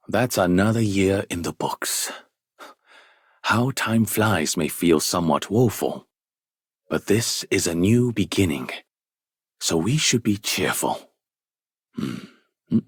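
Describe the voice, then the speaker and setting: A man playing a gentle, contemplative character in a video game, wistful and given to soft sighs. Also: sits low, husky, no echo, studio quality, full character voice. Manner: unhurried, wistful, sighing.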